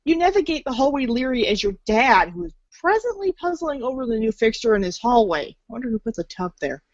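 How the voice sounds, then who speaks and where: A woman's voice: a little high, big pitch swings, brisk, dry recording, dull audio. A woman reading scripted second-person game narration in the manner of a read-along storybook.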